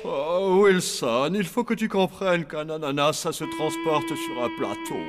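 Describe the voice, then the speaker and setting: A man's voice: on the high side, measured pace, music in the background, lightly acted. A man voicing a fussy, theatrical zombie father who runs a hotel.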